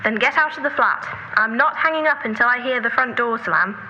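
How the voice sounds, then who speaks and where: A woman playing a bossy, quick-witted caller in an audio drama, brisk and teasing over a phone. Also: fairly high pitch, highs cut off, music in the background.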